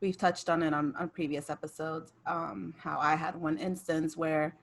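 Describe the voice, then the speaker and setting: Low-voiced young woman co-hosting a podcast. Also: normal speed, creaky. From United States. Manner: relaxed, laughs often, many fillers. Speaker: podcast co-host. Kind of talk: casual chat on a video call. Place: bedroom at home, indoors. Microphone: video call webcam mic.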